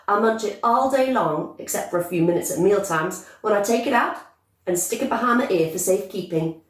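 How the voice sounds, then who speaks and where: A woman voicing a brash, boastful, bossy young girl in a storybook, with loud, emphatic, excitable chatter. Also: mid-range voice, a little fast, voice pushed up, lightly acted. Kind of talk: storybook character voice. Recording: echoey room, distant microphone.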